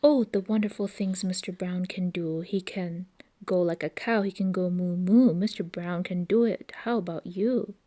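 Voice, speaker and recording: A woman reading a picture book aloud for young children, expressive and playful with the sounds of the words. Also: on the low side, moderate pace. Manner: expressive, with playful imitations of noises.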